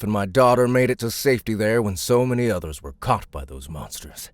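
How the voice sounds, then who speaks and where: An actor playing a big, gruff, middle-aged survivor, bitter and defiant, with a gravelly, raspy voice in an audiobook drama. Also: male voice, low-pitched, heavily acted. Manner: weighty, defiant, rough-spoken.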